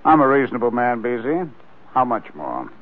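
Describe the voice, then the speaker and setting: An actor playing a guarded, menacing crook boss with a clipped, controlled manner in a 1940s radio crime drama. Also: male voice, medium pitch, sing-song, moderate pace, close to an everyday voice. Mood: guarded, cold. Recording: muffled narrow-band 1940s radio recording.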